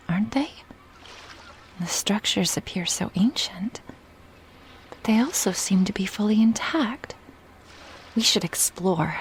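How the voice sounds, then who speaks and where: Casting: actress as adventurous lady doctor for audio drama, enchanted and curious, breathy, lingering over words. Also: medium pitch, slight background noise. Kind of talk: ASMR audio drama acting.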